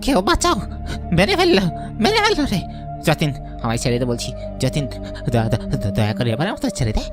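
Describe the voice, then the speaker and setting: A man's voice: on the high side, huge pitch swings, a little fast. A man playing a jittery village man in a Bengali horror cartoon, muttering and exclaiming to himself. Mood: terrified, pleading. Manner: muttering to himself, exclaiming, stammering when scared. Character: frightened village man, Arun, haunted by dead friend. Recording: background music under the voice.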